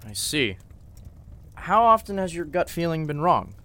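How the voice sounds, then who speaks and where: A man playing a polite, level-headed lawyer in an old-time radio drama, speaking in a measured, slightly formal way. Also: on the high side, very animated, sharp tone, exaggerated voice. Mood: puzzled.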